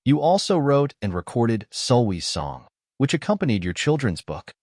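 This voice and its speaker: A man's voice: medium pitch, sing-song, normal speed, darkish tone, no echo, studio quality. A man working as an entertainment interviewer, asking questions in a friendly, conversational way during a press interview.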